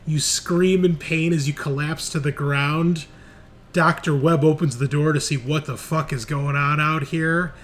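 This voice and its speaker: A man's voice: fairly high pitch, steady tone, measured pace, a bit bright. A man running a tabletop roleplaying game as game master, moving between casual rules talk and dramatic, sweary narration.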